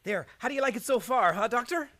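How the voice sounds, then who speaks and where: A man's voice: very high voice, animated, fast talker, pushed and bright, full character voice. An actor playing an eager, dim policeman who fancies himself a playwright, gushing colloquially in radio comedy style. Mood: eager, chatty. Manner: gushing, colloquial tough-cop diction. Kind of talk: live old-time radio comedy acting.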